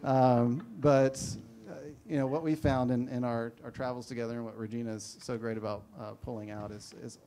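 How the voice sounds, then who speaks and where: A man's voice: medium pitch, little pitch movement, brisk, few pauses, warm tone, a little hiss or hum. A man moderating a panel, introducing the speakers in a rambling, self-deprecating way. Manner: rambling, self-deprecating, many fillers and restarts.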